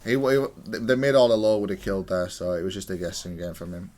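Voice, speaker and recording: A man's voice: low voice, flat delivery, brisk, darkish tone. A man giving casual, matter-of-fact commentary as he analyses a video game match, explaining each move. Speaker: gaming content creator.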